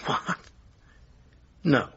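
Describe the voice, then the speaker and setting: An actor playing a smooth, manipulative theatre manager and controlling lover in a naturalistic radio drama. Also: male voice, very slow, pushed and bright, highs cut off. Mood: defensive. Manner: cajoling, domineering, self-satisfied.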